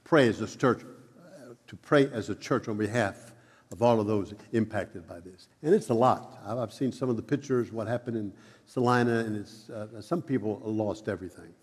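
A man's voice: on the low side, normal speed, slightly rough. A man who stutters, giving church announcements and leading a prayer with many repeats and fillers. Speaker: preacher. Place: church sanctuary. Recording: long hall reverb.

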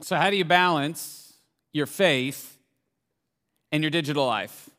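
A pastor in his forties preaching a sermon, energetic and joking, full of rhetorical questions. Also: a little high, measured pace. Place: large church auditorium. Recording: echoey church hall.

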